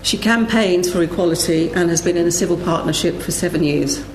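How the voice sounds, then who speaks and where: Low-voiced woman chairing a panel, echoey room. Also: brisk. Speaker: panel chair introducing speakers. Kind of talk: live event introduction, partly scripted. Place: indoor event room with audience. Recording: echoey room, slight background noise.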